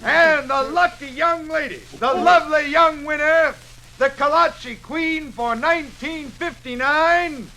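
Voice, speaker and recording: A man playing an excited public announcer in a 1950s radio dramatization, proclaiming in a drawn-out, declamatory way. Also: very high voice, exaggerated voice, rough recording.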